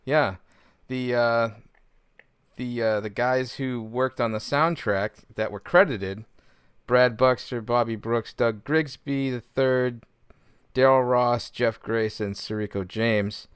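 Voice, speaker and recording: A man's voice: medium pitch, takes it slowly, smooth, no room sound. Male podcast co-host, clean resonant voice, casual delivery, close dry recording. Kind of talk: casual chat and gaming news segment.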